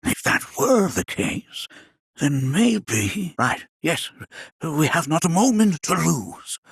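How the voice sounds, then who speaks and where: A man playing a whimsical, absent-minded elderly scholar in a video game, raspy and creaky, speaking in formal, old-fashioned phrases. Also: a little high, very animated, slow, fairly bright tone, no echo, studio quality, heavily acted. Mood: intrigued. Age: older. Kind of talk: video game character acting.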